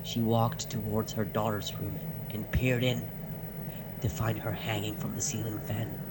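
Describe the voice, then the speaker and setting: Slow, deliberate male horror narrator. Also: medium pitch, noisy background. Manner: slow, deliberate, with dramatic pauses and hushed moments. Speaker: narrator of a dark fiction podcast. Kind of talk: dramatic first-person horror story narration.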